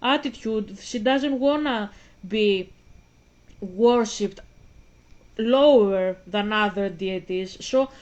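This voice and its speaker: A woman's voice: medium pitch, slow, pauses often, a little mellow, highs cut off. A woman of twenty-five from Greece, speaking English in rambling, self-interrupting casual commentary aimed straight at her viewers. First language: Greek. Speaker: vlogger.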